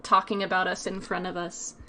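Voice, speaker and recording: A woman's voice: lowish voice, little pitch movement, fairly quick, background noise. A woman chatting casually on an online voice call, blunt and teasing, stopping to correct the others. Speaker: stream co-host. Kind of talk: casual group chat on a voice call. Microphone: online voice chat mic.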